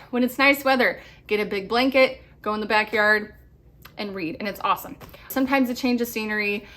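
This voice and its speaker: A woman's voice: on the high side, a bit bright. A woman in her thirties giving upbeat, chatty homeschool advice to camera, often laughing. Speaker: homeschool vlogger. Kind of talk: casual advice talk to camera.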